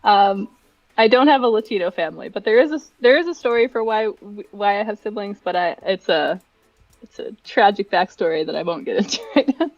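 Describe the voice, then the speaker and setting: A woman's voice: mid-range voice, fairly quick, no room sound, dull audio. A young adult woman chatting casually and giggly as a livestream guest, rambling through personal stories. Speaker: livestream guest, event director and dancer.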